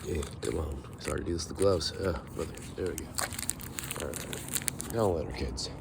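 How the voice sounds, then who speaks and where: A young man with a raspy, hoarse voice, rambling to the camera as he walks outdoors in a casual vlog. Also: very deep voice, normal speed. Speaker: vlogger. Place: outdoors, walking on a foggy street. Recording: noisy outdoor recording with passing traffic.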